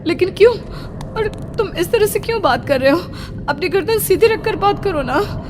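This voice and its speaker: A woman's voice: very high voice, expressive, moderate pace, a little mellow, slight background noise, pitched above the performer's own voice. A woman voicing an anxious, bashful young village wife who protests and pleads in a horror story. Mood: alarmed. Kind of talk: horror audio story acting.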